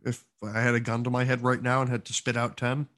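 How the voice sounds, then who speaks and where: A man's voice: mid-range voice, completely flat delivery, quick pace, a bit bright. A man co-hosting a gaming podcast, chatting casually and informally with enthusiastic, opinionated remarks. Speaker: podcast co-host.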